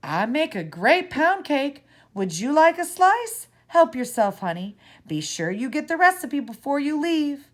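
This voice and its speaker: A woman's voice: high-pitched, big pitch swings, a little slow, few pauses, pitched above the performer's own voice. A woman voicing a feverish, confused sick pioneer woman who rambles in a wandering, sing-song way.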